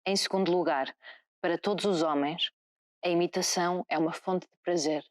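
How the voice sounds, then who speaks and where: Female reader, slightly low pitch, scripted quotation read. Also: steady tone, moderate pace. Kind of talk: scripted reading.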